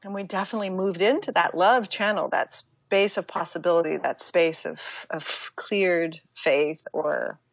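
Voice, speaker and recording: An American woman speaking as an interview guest, talking reflectively in a halting, casual way with many asides. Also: on the low side, steady tone, creaky, dry recording. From United States. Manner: reflective, halting, with fillers and self-quoting asides. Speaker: interview guest, yoga teacher and author.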